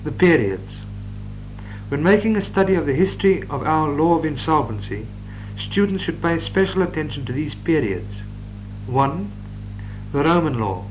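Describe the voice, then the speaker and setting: Guy reading law notes slow and careful, old reel tape with a hum. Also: fairly high pitch, big pitch swings, muffled recording. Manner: measured dictation with occasional stumbles. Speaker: law lecturer.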